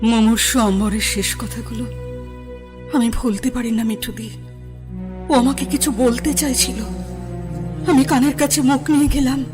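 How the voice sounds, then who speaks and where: An actress playing a grieving widow and schoolteacher torn between longing and suspicion in a radio drama. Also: female voice, on the high side, steady tone, moderate pace, pauses often, over music, natural voice. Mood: anguished. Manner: anguished, then sharp and indignant.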